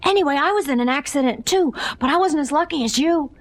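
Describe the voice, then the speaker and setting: A woman's voice: high voice, animated, quick pace. A woman voicing an eager ten-year-old boy in a 1970s audio drama, talking with breathless, wide-eyed enthusiasm. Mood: starstruck.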